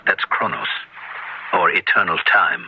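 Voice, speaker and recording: An actor playing a suave, sinister professor of the occult in a radio horror drama, speaking courteously and with scholarly polish. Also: male voice, deep voice, animated, a little slow, lo-fi sound, a little hiss or hum. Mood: scholarly, inviting. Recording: radio drama with music bed.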